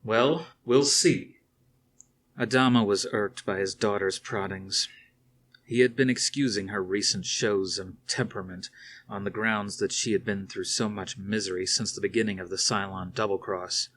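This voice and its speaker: A man narrating a science fiction novel aloud in a steady, plain reading voice. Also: on the low side, moderate pace, a little hiss or hum. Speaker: audiobook reader.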